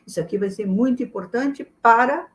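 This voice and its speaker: A middle-aged woman with a fairly high voice, teaching a memory lesson. She explains step by step and speaks straight to the listener, often repeating her main point. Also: normal speed. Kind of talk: spoken lesson to camera.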